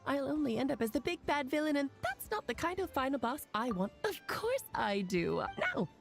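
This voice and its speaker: Pedantic female scholar: highish, very animated, wry lecturing, game dialogue over music. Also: moderate pace, creaky, exaggerated voice. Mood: wry, evasive. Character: pedantic, sly female scholar explaining divine lore. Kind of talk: video game voice acting. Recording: music behind the voice.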